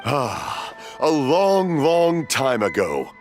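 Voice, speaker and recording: An actor playing a cheerful, hard-of-hearing old fireworks maker who rambles into stories and mishears. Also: male voice, medium pitch, sing-song, very slow. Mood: storytelling. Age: older. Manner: rambling storyteller, mishears, laughs warmly. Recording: game audio with music behind.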